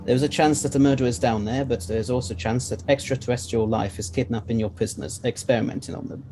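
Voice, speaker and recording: A man playing an eccentric, confident time-travelling gentleman scientist in an audio drama, courteous and explanatory, with old-fashioned phrasing. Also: medium pitch, fairly even intonation, quick pace, soft background music, natural voice. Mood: grave, resolute.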